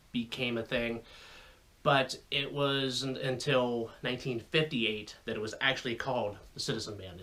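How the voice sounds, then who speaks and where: A man's voice: mid-range voice, a bit bright. An American man giving an informal explainer to viewers in a folksy, conversational way with rambling asides. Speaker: radio hobbyist video host.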